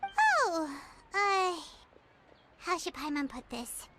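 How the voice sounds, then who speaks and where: Casting: a very high, bouncy little fairy sidekick, bubbly, lively swings. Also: female voice, slow, full character voice. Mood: chirpy. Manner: bubbly, talks about herself by name. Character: tiny chirpy fairy companion, excitable. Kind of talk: video game voice acting.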